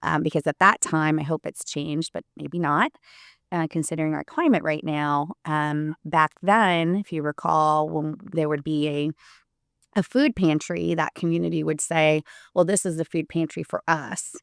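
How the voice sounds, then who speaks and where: Low, gravelly-voiced woman attorney guest. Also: steady tone, moderate pace, no echo, studio quality. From Puerto Rico. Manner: earnest, many "um"s and restarts. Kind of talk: casual interview conversation.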